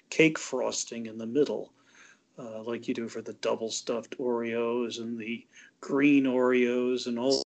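A man talking in a measured, easygoing way in a casual chat, laughing easily and often pausing with fillers. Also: mid-range voice.

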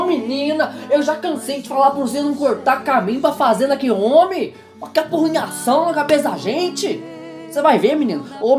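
A scolding countrywoman, played by an actress grumbles in a high voice with a rural Brazilian accent. She is sharp-tongued and folksy, in comic radio serial style, over music. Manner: rustic, scolding, colloquial. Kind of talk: comic radio soap opera acting.

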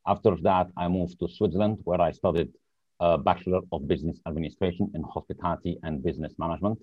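Deep-voiced Lebanese-born man, dull remote audio. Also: steady tone, fast, husky, dry recording. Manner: searches for words, hesitant. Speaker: podcast guest. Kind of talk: casual interview chat.

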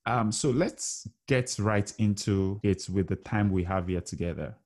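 A man's voice: lowish voice, unhurried, darkish tone. A man hosting a podcast, reading a scripted guest introduction and asking conversational questions. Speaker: podcast host. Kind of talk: podcast interview.

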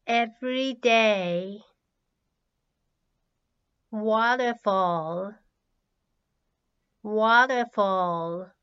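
A woman who speaks Japanese natively reads as an English teacher leading a drill. She goes extremely slowly, enunciating each word deliberately so learners can follow. Her pitch sits in a middle range for a woman. The recording is a little dull and lo-fi. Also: a bit bright, clear, resonant tone. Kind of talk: language drill, reading words and sentences twice. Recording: slightly dull, lo-fi audio.

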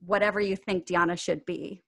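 A woman's voice: lowish voice, fairly even intonation, brisk, fairly bright tone. A woman guest on a podcast, talking earnestly and reflectively in casual conversation. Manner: earnest, reflective, with bursts of laughter. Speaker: podcast guest, acting coach.

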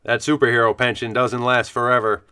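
An actor playing an avuncular, knowing bar owner in a comic radio play, with folksy patter. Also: male voice, medium pitch, level tone, a little fast, bright, forceful tone, clear, resonant tone, no room sound, clean studio sound, natural voice. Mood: genial, knowing. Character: avuncular male bar owner serving retired and rookie superheroes. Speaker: actor in a comedy audio drama. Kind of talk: comic radio theatre acting.

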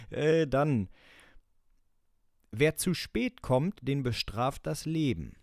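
Animated man quizzing his co-host. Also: medium pitch, drawn-out words, dry recording, clean studio sound. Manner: lively, laughing, reads quotes aloud. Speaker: podcast co-host running a quiz. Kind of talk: casual podcast chat.

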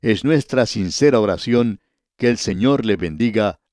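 A man's voice: on the low side, lively intonation, moderate pace, a little mellow, dry recording, clean studio sound. Earnest male radio Bible teacher. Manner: earnest, addresses listener as friend repeatedly. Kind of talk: scripted radio Bible study.

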